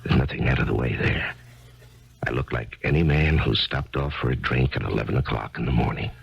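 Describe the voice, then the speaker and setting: A man's voice: very deep voice, vocal fry, breathy edge, heavily acted. A numb, despairing lone man. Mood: brooding. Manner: hesitant, halting, self-doubting. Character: despondent lonely man, numb and withdrawn. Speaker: lead actor. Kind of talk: 1970s radio drama acting. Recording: dull 1970s radio broadcast.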